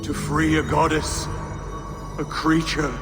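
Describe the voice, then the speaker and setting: An actor playing a bitter, menacing Viking villain in a video game, with a gravelly, ominous, theatrical delivery. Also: male voice, on the high side, completely flat delivery, takes it slowly. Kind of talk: dramatic video game voice acting. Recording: long cavernous reverb, music behind.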